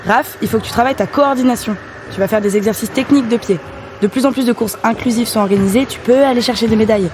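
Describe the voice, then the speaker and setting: Brisk, high-voiced female coach played by an actress. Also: noisy background, lightly acted. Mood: brisk, encouraging. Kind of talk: French audio drama acting.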